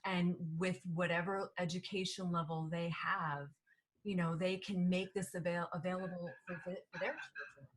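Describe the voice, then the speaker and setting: Podcast host, woman, New Jersey accent, lower pitch, loose rambling delivery. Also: fairly even intonation, normal speed, low-quality recording. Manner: rambling, many restarts and fillers. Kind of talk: casual interview chat.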